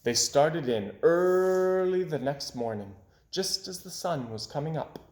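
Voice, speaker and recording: Male children's storyteller: lighter high-ish pitch, very animated, dramatic, hushed endings. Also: unhurried. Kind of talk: expressive children's story read-aloud. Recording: echoey room.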